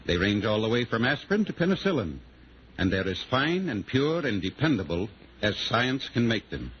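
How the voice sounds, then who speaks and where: A man's voice: medium pitch, very animated, normal speed, muffled recording, close to an everyday voice. A man playing an earnest neighborhood pharmacist with an American accent in a scripted 1940s radio commercial. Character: earnest, dependable neighborhood pharmacist, sponsor spokesman. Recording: band-limited, faintly hissy 1940s radio recording.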